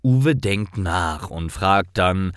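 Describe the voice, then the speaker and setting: A man's voice: low voice, drawn-out words, smooth, no room sound, studio quality. A man reading simple stories for language learners in a careful, scripted narrating style.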